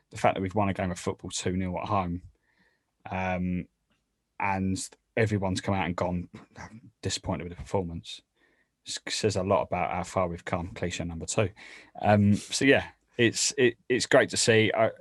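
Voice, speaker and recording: A man's voice: sits low, dry recording. A man giving casual football opinions as a podcast panellist, hesitantly repeating words as he builds each point.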